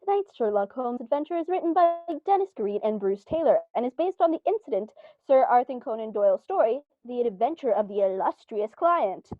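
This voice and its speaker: A woman's voice: fairly high pitch, lively intonation, a little fast, hardly any pauses, no echo, close to an everyday voice. A woman playing a 1940s radio announcer, reading a scripted sign-off in a presentational manner. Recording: thin, dry phone-like sound.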